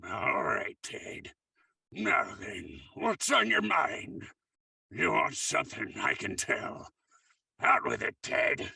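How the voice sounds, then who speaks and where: Gravelly, spiteful old miser. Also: male voice, on the high side, wildly swooping pitch, bright, forceful tone, no room sound, distant mic, heavily acted. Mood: suspicious. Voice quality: gravelly, raspy. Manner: menacing, cackling, drawn-out delivery. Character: spiteful, gloating old miserly uncle with a pet raven. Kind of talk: 1940s horror radio acting.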